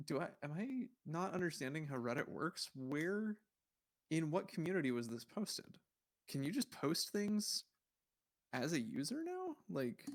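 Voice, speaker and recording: A man hosting a livestream, chatting casually and rambling as he thinks aloud in a puzzled, musing way while browsing. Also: on the high side. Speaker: livestream host.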